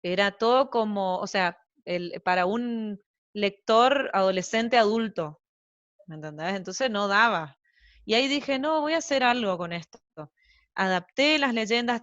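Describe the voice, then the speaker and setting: A woman's voice: medium pitch, a little slow, a bit bright, dry recording, lo-fi sound. A woman with an Argentine accent, a translator being interviewed, talking in a chatty, rambling way with many fillers and asides. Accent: Argentine Spanish. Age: young adult to middle-aged, mother of young children. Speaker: translator guest. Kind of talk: casual interview chat.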